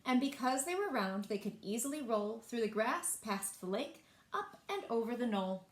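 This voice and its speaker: A woman reading picture books aloud in an expressive way, speaking directly to young listeners and asking them questions. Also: fairly high pitch, normal speed. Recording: echoey room.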